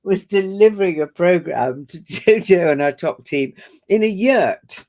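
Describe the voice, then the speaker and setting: A woman's voice: fairly low pitch, lively intonation, unhurried. An older woman hosting a webinar conversation, telling stories and laughing easily as she talks. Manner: warm storytelling with frequent laughs. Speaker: host.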